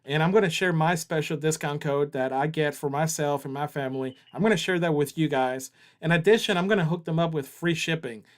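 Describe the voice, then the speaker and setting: A man's voice: fairly high pitch, fairly quick, hardly any pauses. A man hosting a casual livestream, joking around and reading viewer chat aloud. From Southern US. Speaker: livestream host.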